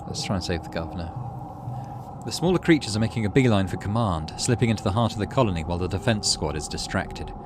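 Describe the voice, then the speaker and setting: A man's voice: lowish voice, animated, fast talker, background noise. A man narrating a visual novel dramatically, with casual asides as a gaming channel host. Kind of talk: casual let's play commentary between readings. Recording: game music behind the voice.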